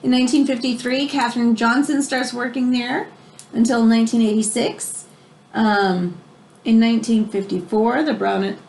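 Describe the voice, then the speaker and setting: A woman reading a children's book aloud in an expressive way, as if explaining it to young listeners. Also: fairly high pitch, slight background noise. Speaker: read-aloud storyteller.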